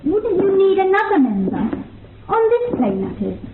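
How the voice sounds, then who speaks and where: A woman's voice: very high voice, sing-song, moderate pace, full character voice. An actress in a radio drama playing a curious, observant woman who speaks in a musing, probing way. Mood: gently probing. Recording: narrow muffled sound with clear noise.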